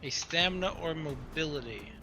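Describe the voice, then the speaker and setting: A man's voice: on the high side, animated, normal speed, bright, forceful tone, soft background music. A man chatting casually as a player at a tabletop roleplaying game.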